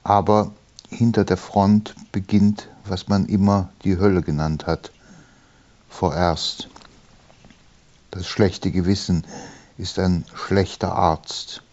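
A man reading his own poem in measured short phrases with pauses between them. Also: low voice, flat delivery, darkish tone. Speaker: poet reading own work. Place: small room, writing study. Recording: dull, muffled sound.

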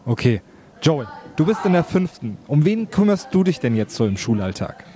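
A man's voice: on the high side, big pitch swings, moderate pace, dark tone. A man working as a radio reporter, talking informally in an on-location interview report. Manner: informal, chatty. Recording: on-location recording with background noise.

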